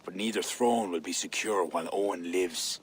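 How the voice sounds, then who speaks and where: An actor playing a weary, proud warrior king in a video game, grave and measured. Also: low-pitched, husky. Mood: brooding resolve. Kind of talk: video game cutscene acting.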